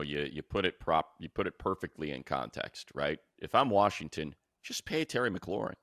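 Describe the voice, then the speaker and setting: A man with a low-pitched voice breaks down sports in an unscripted co-host's style. He leans on points emphatically, repeating phrases to stress them. The sound is close and dry. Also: fairly bright tone, no room sound. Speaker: sports show co-host and commentator. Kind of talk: unscripted sports talk and analysis.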